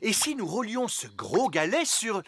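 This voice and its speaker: Animated, husky fairy king. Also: high voice, a little slow, no room sound, heavily acted. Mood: jovial.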